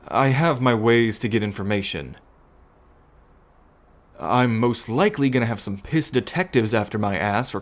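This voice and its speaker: A man playing a weary, apologetic estranged brother in an ASMR audio drama, speaking in a measured, pleading manner. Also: medium pitch, close to an everyday voice.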